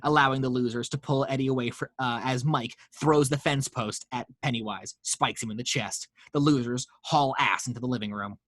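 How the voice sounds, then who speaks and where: A man's voice: medium pitch, fairly even intonation, a bit bright, no room sound. Man, narrator, table read, stage directions, dry close sound. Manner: brisk reading of stage directions. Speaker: narrator reading action lines. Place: home.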